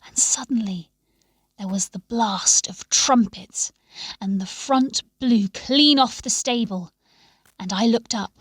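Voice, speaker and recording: A woman reading a story aloud in a measured, expressive way, with phrases that often sink into a hush. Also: fairly high pitch, slightly rough, no room sound. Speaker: story reader. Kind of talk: scripted storytelling narration.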